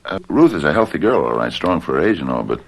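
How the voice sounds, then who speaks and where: An anxious family man down on his luck, from a radio mystery play. His voice is extremely low and creaky, with an earnest, hesitant delivery that is eager to please. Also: big pitch swings, fast talker, heavily acted. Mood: hesitant, protesting. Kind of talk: radio mystery drama acting.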